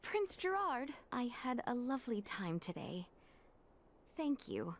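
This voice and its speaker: A woman playing a poised, dutiful young princess in a video game, speaking with refined, measured politeness. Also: a little high, very animated, a little hiss or hum, full character voice. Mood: gracious. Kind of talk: video game cutscene acting. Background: music.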